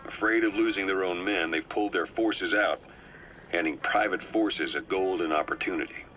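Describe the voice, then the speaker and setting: Composed intelligence operative male actor, deep and deliberate, briefing a comrade in a military game over dull tape sound. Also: a little hiss or hum, close to an everyday voice. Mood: calm, explanatory. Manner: measured, matter-of-fact, deliberate. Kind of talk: dramatic video game briefing. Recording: dull cassette-tape sound with music behind. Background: music.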